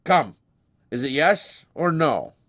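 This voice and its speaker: A solemn, self-important President in a storybook, played by a male narrator. The voice is raised and lively, with a deliberate, oratorical delivery. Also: fairly high pitch, voice pushed up, exaggerated voice. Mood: frank, kindly. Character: proud, solemn President, folksy statesman, decisive and kindly.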